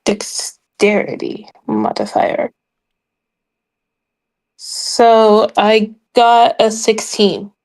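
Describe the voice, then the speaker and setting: A woman's voice: medium pitch, very animated, extremely slow, pauses often, a little mellow, low-quality recording. A woman chatting casually as a player in a tabletop game.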